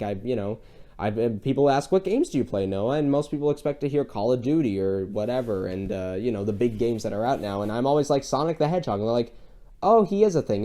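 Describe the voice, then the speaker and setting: A man's voice: fairly low pitch, mellow. Man chatting earnestly as a podcast co-host. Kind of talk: casual film discussion.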